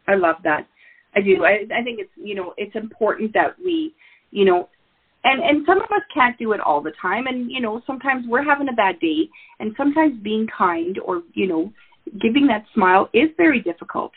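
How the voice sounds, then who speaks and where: A woman's voice: a little high, expressive, normal speed, slightly rough. A woman hosting an interview who agrees readily and keeps the chat casual. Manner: warmly agreeable, affirming. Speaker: podcast host. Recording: tinny phone-like sound.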